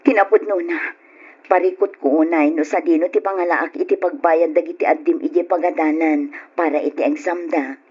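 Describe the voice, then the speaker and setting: A woman's voice: low-pitched, fairly even intonation, normal speed, darkish tone, breathy edge, dull audio. A woman with an Ilocano accent playing a poor, worn-down, pleading mother in an old radio drama. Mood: grateful.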